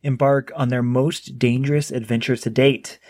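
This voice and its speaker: A man's voice: mid-range voice, level tone, moderate pace, a little mellow, no echo. Chatty male podcast host, dry close mic. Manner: chatty, lots of fillers and restarts. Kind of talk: casual book chat.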